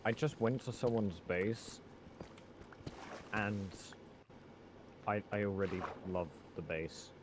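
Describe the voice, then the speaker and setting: A man talking casually with friends during a game, halting and wry, over a noisy voice chat. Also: lowish voice. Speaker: gamer playing Minecraft with friends.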